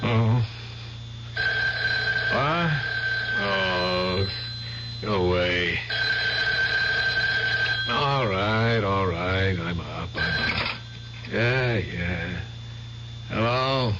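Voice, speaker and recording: A man's voice: low voice, expressive, very slow, long pauses, full character voice. An actor in a 1970s radio drama playing a grumpy, groggy man woken by a late-night call. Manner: groggy, irritable. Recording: muffled old radio recording with loud hiss.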